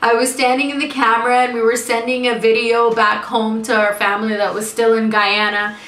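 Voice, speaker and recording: A woman's voice: on the high side, brisk, distant mic. Chatty young woman in an echoey room. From Suriname. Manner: lively, chatty, laughs often. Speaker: vlogger. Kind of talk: casual chat to camera.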